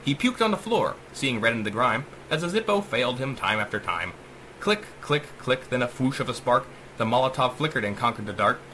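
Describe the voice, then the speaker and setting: Male narrator, theatrical verse delivery, lively pitch swings, bright forceful tone, faintly noisy recording. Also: brisk. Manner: theatrical, sing-song verse cadence. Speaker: author reading own story. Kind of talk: dramatic rhymed audiobook narration.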